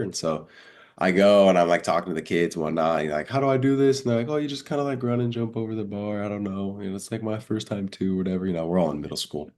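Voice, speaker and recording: Very deep-voiced, congested young man. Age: young adult. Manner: chatty, informal, slangy, acts out remembered dialogue. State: congested, under the weather. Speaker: guest, college high jumper. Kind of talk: casual interview storytelling.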